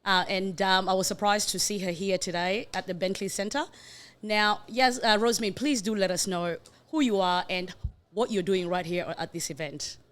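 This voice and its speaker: A woman co-hosting a casual podcast on location, playful and self-assured, quick to chime in with short affirmations. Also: medium pitch. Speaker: podcast co-host. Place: community centre building, on location.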